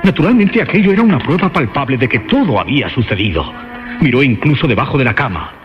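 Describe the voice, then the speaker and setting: A man narrating a horror radio drama in halting, emphatic, suspenseful phrases. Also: mid-range voice, very animated. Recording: dull lo-fi radio sound, loud music. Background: loud music.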